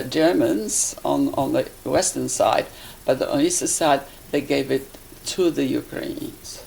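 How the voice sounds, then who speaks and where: An elderly woman from Poland with a Polish accent, recalling her life in a halting, deliberate way as she is interviewed. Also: deep voice, vocal fry, a little mellow, slight background noise, rough recording. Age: 80s or older. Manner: halting, deliberate, searching for words. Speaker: interviewee, life-story witness. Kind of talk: personal reminiscence in an interview.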